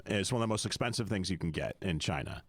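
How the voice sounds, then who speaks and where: A man with a low, chatty voice, hosting a relaxed radio chat with lots of fillers and quick animated asides. The sound is dry and close to the mic. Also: no room sound. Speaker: talk show host. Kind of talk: casual radio talk.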